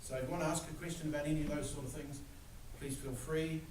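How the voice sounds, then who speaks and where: An older man with a New Zealand accent giving a relaxed, joking lecture to students, with plenty of "uh" fillers. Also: a little high, fast talker. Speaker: guest speaker. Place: large room. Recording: echoey room recorded from far off, noisy.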